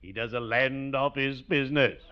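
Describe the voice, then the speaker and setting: An actor playing a gloomily jovial, genteel undertaker with a formal, drawn-out delivery in 1940s radio comedy style. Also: male voice, medium pitch, smooth, close to an everyday voice. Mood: macabre cheer. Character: genteel, gloomily jovial small-town undertaker, a family friend. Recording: dull, noisy vintage 1940s radio recording.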